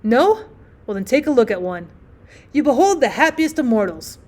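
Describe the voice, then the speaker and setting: A woman voicing a giddy, boastfully happy newlywed gentleman in an audiobook, tipsy, effusive and rambling. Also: fairly high pitch, sing-song, moderate pace. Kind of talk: acted audiobook storytelling.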